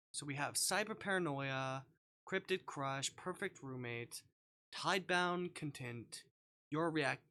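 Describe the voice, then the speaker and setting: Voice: medium pitch, a bit bright. A live streamer chatting casually while playing visual novels, rambling and thinking aloud.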